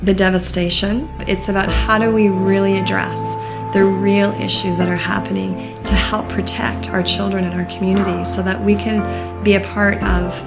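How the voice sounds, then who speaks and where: A woman's voice: mid-range voice, little pitch movement, gravelly. A woman from Canada, a rugby charity co-founder, speaking fluently and with passion about the children she works with. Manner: fluent, impassioned, measured emphasis. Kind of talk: interview and documentary testimony. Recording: loud background music.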